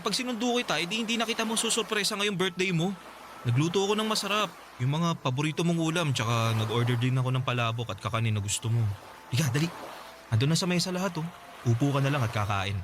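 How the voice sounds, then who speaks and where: A man with a Filipino accent acting a sheepish, coaxing young boyfriend in a radio drama, pleading and cajoling. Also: on the high side. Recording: noisy ambient background.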